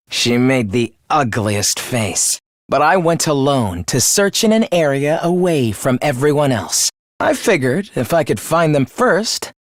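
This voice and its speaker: A man's voice: mid-range voice, dry recording, clean studio sound, natural voice. A man acting a volatile, theatrical young student. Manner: theatrical, articulate, swinging from earnest to cold contempt. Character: young male student, earnest surface, cold misanthropic killer beneath. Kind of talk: dramatic anime-style video game voice acting.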